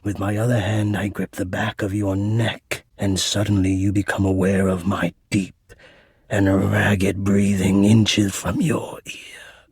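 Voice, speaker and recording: A man's voice: on the low side, level tone, a little slow, a little mellow, husky, no echo, clean studio sound, exaggerated voice. A man voicing a shy, anxious, romantic air duct spirit in a dating game, speaking earnestly and formally with some hesitation. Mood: slow, intimate, sensual. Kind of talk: dating game character acting.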